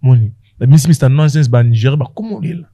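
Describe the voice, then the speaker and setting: A man's voice: mid-range voice, fast, dark tone, husky, no echo. A man with a Congolese accent chatting casually as a comedian guest on a podcast, switching between languages and telling stories with repeated words.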